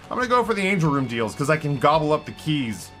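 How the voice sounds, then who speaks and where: A man in his thirties streaming and commenting on a game in a rambling, self-mocking way, his voice hoarse after hours of talking. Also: fairly high pitch, very animated, fast, fairly bright tone, faint music behind. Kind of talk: live gameplay commentary. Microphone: headset with headphones.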